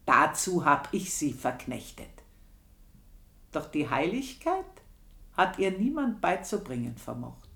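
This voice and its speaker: Vengeful pious old servant woman, southern German or Austrian accent, radio drama, very slow, roomy echo. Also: low-pitched, big pitch swings, vocal fry, a bit bright, heavily acted. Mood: cold, grimly satisfied. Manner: deliberate, intense, drops into whispers. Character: bitter, vengeful old housekeeper, pious and unforgiving.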